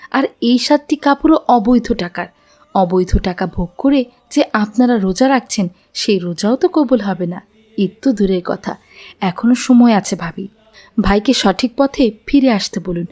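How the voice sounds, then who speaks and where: A woman's voice: on the high side, darkish tone. A woman voicing a pious, principled, admonishing mother in a cartoon. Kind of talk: Bangla cartoon dubbing.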